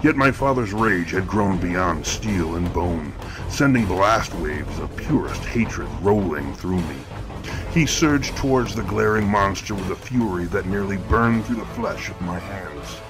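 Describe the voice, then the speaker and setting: Grim eloquent killer, very low voice, measured theatrical horror narration, music behind. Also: male voice, animated, poor audio. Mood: grim relish. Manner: dark, measured, florid, theatrical. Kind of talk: scripted horror fiction narration.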